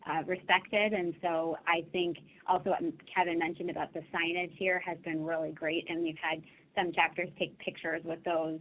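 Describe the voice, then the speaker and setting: A woman's voice: on the low side, flat delivery, moderate pace, fairly bright tone, low-quality recording. A woman on a webinar panel, telling a friendly anecdote in a casual way.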